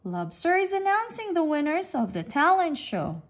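A woman's voice: sits high, sing-song, normal speed, pitched above the performer's own voice. A woman voicing a small speedy sprat fish in a storybook, eager, urgent and exclaiming. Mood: relieved.

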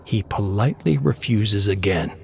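A man's voice: lowish voice, animated, moderate pace, gravelly, darkish tone, slight background noise. A man reading a scripted story as narrator, in a dramatic style.

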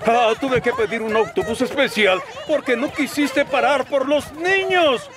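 Un actor de acento latinoamericano interpreta a un jefe ferroviario regañón y aturullado, que balbucea y se repite, en una caricatura infantil. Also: male voice, extremely high pitch, a little slow, crowd noise. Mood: annoyed. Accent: Latin American. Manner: blustering, repeats himself. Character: flustered, scolding railway boss gentleman. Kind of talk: animated children's cartoon acting.

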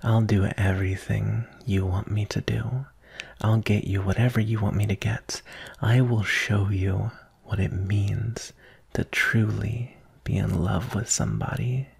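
Man acting a husky, low, obsessive yandere kidnapper. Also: steady tone, a little mellow, exaggerated voice. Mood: tender, obsessive. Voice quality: breathy, husky, often near whisper. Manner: slow, intense, intimate, addressing a captive darling. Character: obsessive, possessive, lovesick male yandere kidnapper. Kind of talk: ASMR roleplay acting.

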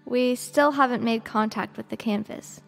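High-voiced, stubborn engineer in reverb. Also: female voice, moderate pace, gravelly, faint music behind. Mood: firm, exasperated. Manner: exacting, argumentative. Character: cautious, stubborn woman shuttle engineer. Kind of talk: audio drama acting.

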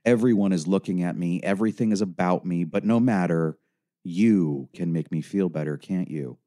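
A man's voice: sits low, moderate pace, mellow, no echo, studio quality, lightly acted. A man voicing a story's troubled male caller, curt and paranoid, with an agitated, sarcastic, demanding manner. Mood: bitter, weary. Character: Dan, curt, paranoid, sardonic suicidal man on phone.

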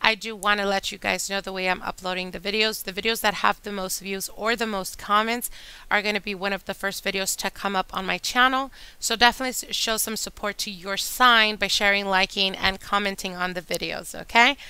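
A woman reading tarot cards for her channel, chatty and addressing viewers directly, her voice a little hoarse from a long day. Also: medium pitch, steady tone, fairly quick, no echo. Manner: chatty, warm address to viewers, whispers during shuffling. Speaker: tarot reader. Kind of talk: casual tarot reading monologue. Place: indoor table with candles and decor.

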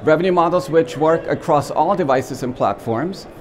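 A man's voice: fairly high pitch, moderate pace, slight background noise. Austrian-accented man presenting on stage. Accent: Austrian German. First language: German. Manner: lively, joking, with many fillers and restarts. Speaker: company CEO giving a conference talk. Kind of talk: trade-show presentation. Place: small expo stage with backdrop, indoors.

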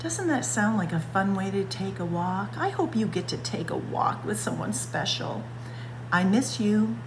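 A woman reading a picture book aloud for children at story time, expressive and stretching words to act them out. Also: medium pitch, a little slow, background noise. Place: indoors, library room with bookshelves.

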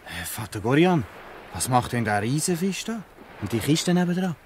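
A man as a lively, puzzled Swiss German lad. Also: mid-range voice, normal speed, slight background noise, close to an everyday voice. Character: inquisitive, naive boy of a children's gang. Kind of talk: dialect audio drama acting.

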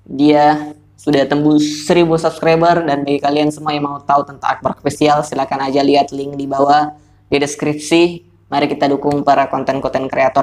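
Young man with a slightly hoarse, higher voice. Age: young adult. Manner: laid-back, chatty. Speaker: YouTuber hosting a podcast call. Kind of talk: casual vlog-style phone interview. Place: small room, indoors.

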